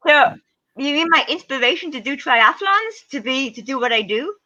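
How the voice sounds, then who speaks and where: Bright-voiced middle-aged woman telling stories. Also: sits high, moderate pace, muffled recording. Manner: lively anecdotes acting out quoted voices. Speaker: guest ultra-distance triathlete. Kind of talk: casual interview storytelling.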